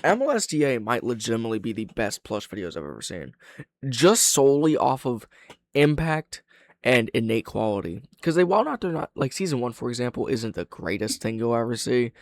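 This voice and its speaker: A man's voice: medium pitch, very animated, a little fast, dry recording. Young adult male reactor, frank and opinionated, sweary casual commentary, close dry recording. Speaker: reaction video host and reviewer.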